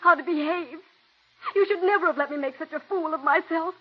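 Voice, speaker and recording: An actress playing a young, ambitious stage actress who pleads tearfully and breathlessly, in 1940s radio drama style. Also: female voice, very high-pitched, a little fast, slight background noise. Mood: pleading. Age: young adult. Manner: breathless, pleading, breaks into sobs. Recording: dull old radio broadcast.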